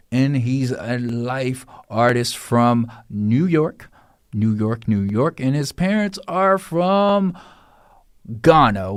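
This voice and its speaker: A man's voice: mid-range voice, studio quality. A man hosting a casual interview show, upbeat and often echoing his guest's words back. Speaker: podcast host.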